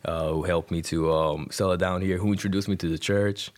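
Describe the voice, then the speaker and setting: A man's voice: low voice, fairly even intonation, fairly quick. A man in his twenties with an Iranian accent, telling his personal story in a colloquial, conversational way. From Iran. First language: Persian. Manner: colloquial, punctuated with "like" and "you know". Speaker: podcast guest, rapper.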